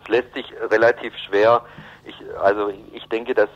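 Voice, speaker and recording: A man giving a hesitant, analytical explanation in an unscripted radio interview, often pausing and rephrasing. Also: fairly low pitch, flat delivery. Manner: hesitant, halting, with frequent ums and rephrasing. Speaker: interviewee explaining South American politics. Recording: dull, band-limited radio recording.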